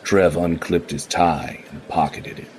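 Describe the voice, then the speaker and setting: A man narrating a noir radio play in a measured, even storytelling way. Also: deep voice, slight background noise. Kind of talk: scripted narration.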